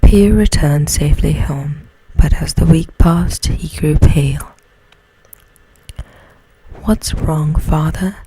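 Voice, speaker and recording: A woman whispers a bedtime story in a hushed, breathy ASMR style, close to the mic. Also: deep voice, no echo, low-quality recording. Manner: slow, hushed, soothing delivery.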